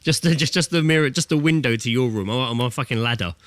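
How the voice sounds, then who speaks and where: A man's voice: medium pitch, fast, fairly bright tone, no echo. A man in his thirties chatting casually as a podcast co-host, sweary, blokey and jokey. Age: 30s. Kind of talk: casual comedic banter. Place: remote internet call.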